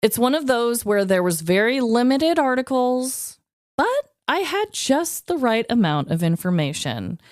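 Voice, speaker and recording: A woman co-hosting a comedy podcast, casual and playfully expressive as she chats and tells stories. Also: a little high, measured pace, dry recording, studio quality. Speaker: podcast co-host. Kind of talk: casual comedic chat and storytelling.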